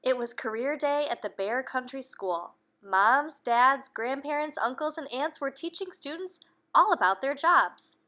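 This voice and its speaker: A woman reading a storybook aloud to young children, expressively, pausing to put questions to her listeners. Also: sits high, pushed and bright. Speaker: children's read-aloud host, speech-language teacher.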